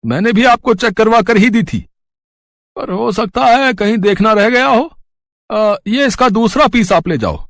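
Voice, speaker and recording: A man playing a high-pitched apologetic shopkeeper. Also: a little slow, fairly bright tone, no room sound, clean studio sound. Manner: courteous, explaining. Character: polite, apologetic male sari shopkeeper.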